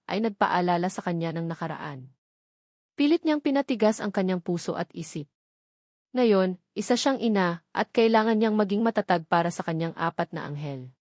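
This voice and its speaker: A woman's voice: mid-range voice, a little mellow, no echo, studio quality. A woman with a Filipino accent reading a scripted, dramatic romance story as narrator.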